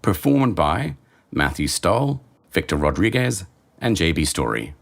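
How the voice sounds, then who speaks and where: Very deep-voiced male announcer. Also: very animated, a little slow, gravelly. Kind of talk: scripted introduction and credits. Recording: faint low rumbling noise.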